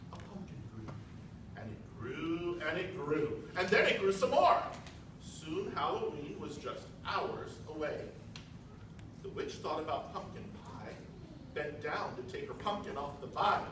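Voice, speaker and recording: An American man narrates a children's story as a school presenter. His voice is high and lively, with emphatic theatrical delivery, echoing from far off in a noisy hall. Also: measured pace. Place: large school multipurpose room, indoors. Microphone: distant camera.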